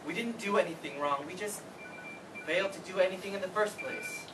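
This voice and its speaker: A man's voice: sits high, brisk, music in the background, natural voice. A man playing a grieving, regretful adult son in a staged reading of a play. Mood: shocked, defensive. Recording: distant mic, noisy room.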